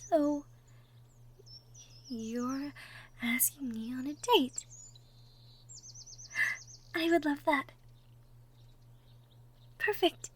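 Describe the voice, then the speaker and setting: A woman voicing a sweet, shy young cottage girl in love, gentle and stammering, in a girlfriend roleplay audio drama. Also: high-pitched, extremely slow, pauses often, a little hiss or hum. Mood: shy, tender. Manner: stammering, gentle, breathy asides.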